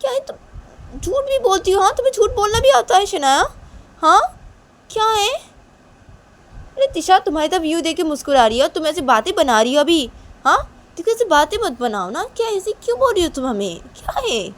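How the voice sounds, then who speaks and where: A woman's voice: very high voice, very animated, moderate pace, voice pushed up. A woman voicing a hurt mother in a story, accusing and scolding insistently. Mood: disbelieving. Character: hurt, reproachful mother scolding her children. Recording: clear background noise.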